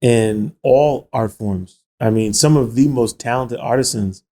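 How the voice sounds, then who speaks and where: A man hosting a podcast interview, mid-pitched and easygoing as he asks his guest questions. He leads the conversation with a relaxed delivery. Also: measured pace. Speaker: podcast host.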